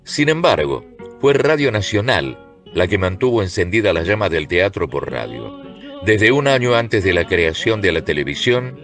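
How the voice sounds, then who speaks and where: Very deep-voiced man narrating. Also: a bit bright. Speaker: documentary narrator. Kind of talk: scripted narration.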